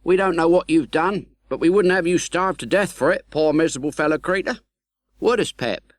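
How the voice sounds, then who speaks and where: A man voicing a simple, soft-hearted village blacksmith, artless and warm-hearted, in an audiobook. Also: a little high, a little fast, dry recording, pitched above the performer's own voice, lightly acted. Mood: compassionate.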